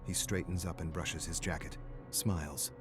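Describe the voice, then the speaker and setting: A man giving a dramatic, brooding narration of dark fiction in terse, clipped phrases, like game lore. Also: sits low, fairly even intonation, normal speed. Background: background music.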